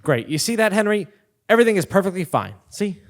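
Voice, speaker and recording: A man's voice: sits high, sing-song, quick pace. A man playing an exasperated, skeptical plotter, the impatient straight man in a comic stage play. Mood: placating. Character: exasperated, skeptical male plotter humoring his friend. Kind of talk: comic stage acting before a live audience. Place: theatre stage, live performance.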